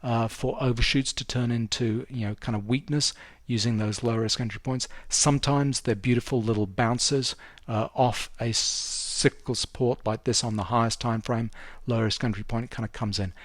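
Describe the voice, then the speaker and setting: A man's voice: mid-range voice, fairly even intonation, normal speed, no room sound, clean studio sound. A man giving a casual, emphatic market commentary as a trading instructor, talking through a screen share in an informal way.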